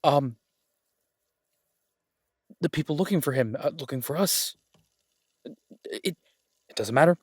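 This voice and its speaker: Man acting slow-talking lovesick mafia boss. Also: on the high side, lively intonation, husky, dry recording, clean studio sound. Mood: elated, relieved. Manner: halting, rambling, drawn-out pauses, occasionally swearing. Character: lovesick mafia boss in hiding, rambling, tender, wry. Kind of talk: roleplay audio drama, intimate voice message monologue.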